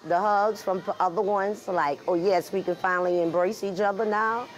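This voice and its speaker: A woman security guard recalling events in an interview, animated and heartfelt. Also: mid-range voice, normal speed, slight background noise, poor audio.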